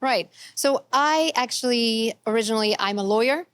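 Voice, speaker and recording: Lady, relaxed chatty interview guest. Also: fairly high pitch, normal speed, clean tone. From Egypt. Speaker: artist guest. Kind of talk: casual interview answers.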